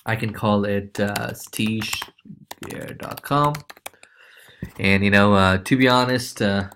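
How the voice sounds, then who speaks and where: Low-pitched man giving a casual software tutorial. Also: takes it slowly, slight background noise. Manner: informal, loose, repeats check-in tags like okay and right. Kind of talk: casual screencast walkthrough.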